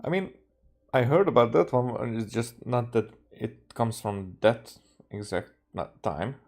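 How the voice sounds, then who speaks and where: A man's voice: mid-range voice, a little slow, darkish tone. A man from Hungary casually reacting to a game as he streams, with dry, offhand remarks.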